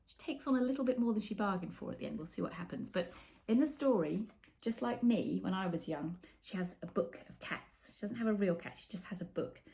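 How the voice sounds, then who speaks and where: A middle-aged woman reading a picture book aloud to children, animated and expressive, with chatty asides and hushed, dramatic phrasing. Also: mid-range voice, gravelly, slight background noise. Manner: animated, dramatic pauses, drops into whispers. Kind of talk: expressive storytime read-aloud with asides to young viewers. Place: small room with bookshelves, indoors.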